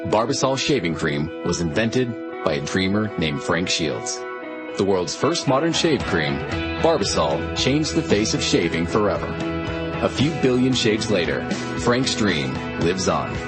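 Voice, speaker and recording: A man's voice: low voice, very animated, measured pace. A man reading scripted, inspirational advertising narration. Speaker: commercial announcer. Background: loud guitar music.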